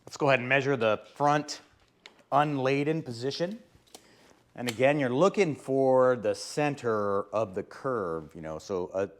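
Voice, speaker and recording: A man with a hoarse, sore-throat voice, casually explaining car repair steps as host of his own channel. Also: medium pitch. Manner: chatty, rambling, explains step by step. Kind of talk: casual DIY car tutorial.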